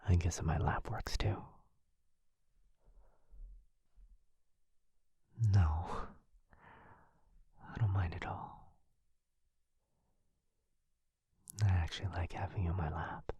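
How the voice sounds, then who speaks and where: Man voicing a devoted male vampire lover, ASMR roleplay, very husky and breathy, soft dark tone, intimate, gently seductive. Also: deep voice. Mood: tender, affectionate.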